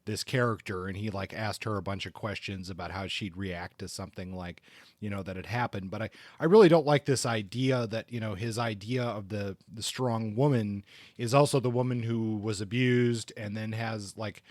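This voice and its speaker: A middle-aged man co-hosting a book podcast, talking casually in a halting, hesitant way with measured judgments. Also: fairly low pitch, darkish tone, dry recording, studio quality.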